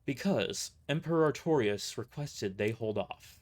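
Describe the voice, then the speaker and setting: A man's voice: mid-range voice, lively intonation, measured pace, a little mellow. A man playing a weary mercenary boss who gives grave, matter-of-fact briefings in an audio drama. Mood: grave, explanatory.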